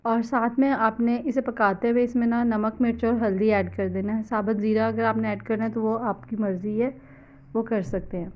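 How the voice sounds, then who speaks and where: A woman's voice: fairly high pitch, flat delivery, normal speed, dull audio. A woman with a Pakistani Urdu accent, talking through a recipe in a loose, chatty, explanatory way and mixing in English words, recorded on a phone in a home kitchen. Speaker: cooking vlogger. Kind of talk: casual cooking voice-over.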